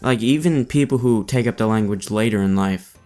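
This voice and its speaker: A man's voice: fairly low pitch, moderate pace, darkish tone, soft background music. A man hosting a casual podcast chat, musing with curiosity about ideas. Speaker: podcast host.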